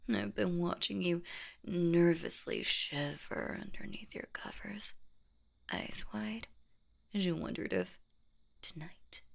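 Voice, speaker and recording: A woman voicing a sadistic, playful boogeywoman in a horror audio roleplay, whispering in a husky, teasing, menacing and mock-sweet way. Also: slow, full character voice. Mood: eager, predatory. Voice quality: breathy, husky whisper with creaky low notes. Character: sadistic, playful female boogeywoman monster hunting humans.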